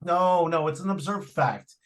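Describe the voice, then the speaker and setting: A man's voice: on the high side, unhurried, close to an everyday voice. An actor playing a fussy, matter-of-fact male real estate agent in an old-time radio drama, earnest with hesitant starts. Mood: earnest, dismissive. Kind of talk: 1940s live radio drama acting.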